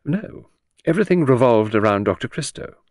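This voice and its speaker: A man's voice: mid-range voice, lively intonation, fairly quick, no echo, clean studio sound, voice pushed up. A man voicing a cool, brusque young woman secretary in an audiobook, with precise, faintly scornful speech. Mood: cool contempt. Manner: crisp, precise, prompt, scornful. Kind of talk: audiobook character reading.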